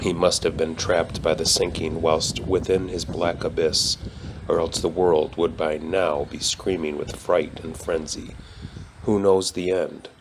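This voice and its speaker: A man's voice: low voice, a little slow. A man giving a solemn narrated reading of literary horror, with noise behind the voice. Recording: clear steady hum and background noise.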